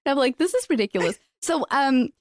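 Chatty woman host, higher voice. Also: very animated, fast, no room sound, studio quality. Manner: chatty, many "like" fillers, laughs often. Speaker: podcast host. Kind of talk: casual conversational interview.

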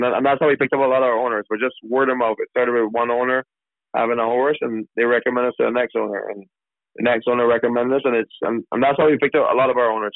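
A man talks quickly and casually, often restarting his sentences. His voice comes over a thin, tinny phone line with a dry sound. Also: mid-range voice, no echo. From Barbados. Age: 30s. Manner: fast, casual, with many restarts and fillers. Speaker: racehorse trainer. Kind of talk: radio interview answers.

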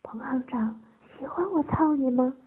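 A woman's voice: high voice, normal speed, narrow, tinny audio, pitched above the performer's own voice, lightly acted. A woman voicing a coarse, domineering male official who barks bullying, foul-mouthed orders in an audiobook. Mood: gloating, excited.

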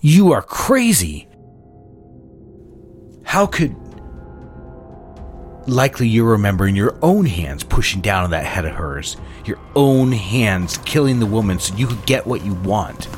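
A man's voice: fairly low pitch, big pitch swings, a little slow, long pauses, faint music behind. An actor playing a controlling, condescending husband in a dramatic audio drama, patronizing as he moves between cooing and scolding. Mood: accusing.

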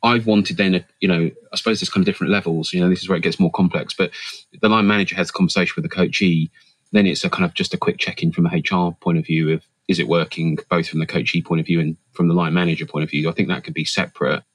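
Deep-voiced man, measured and thoughtful. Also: fairly even intonation, dry recording. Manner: thoughtful, measured, with frequent fillers and restarts. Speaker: interview guest, HR leader and coach. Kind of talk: reflective interview answers.

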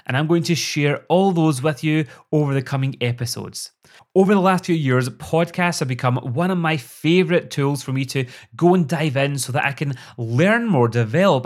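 A middle-aged Scottish man hosting a podcast, speaking as a performance coach in an upbeat, earnest, motivational monologue. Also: on the high side.

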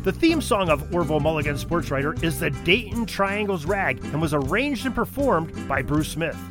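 Music plays clearly behind a man with a notably high voice. He reads scripted credits and promos as a podcast host. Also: sing-song, normal speed.

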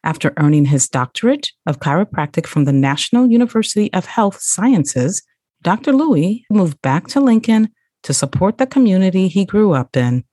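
A woman's voice: on the low side, a little mellow, no room sound, clean studio sound. A woman hosting a podcast interview, reading a guest introduction and then chatting, laughing at her own slips. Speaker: podcast host.

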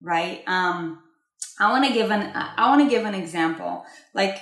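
A woman's voice: mid-range voice, expressive, measured pace, roomy sound. A woman from Israel co-hosting a casual talk about spirituality, emphatic and repeating herself as she addresses listeners directly.

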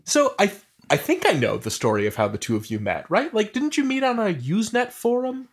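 Animated man hosting a podcast. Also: sits high, a little fast. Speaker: podcast host and interviewer. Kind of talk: hosting an interview, conversational.

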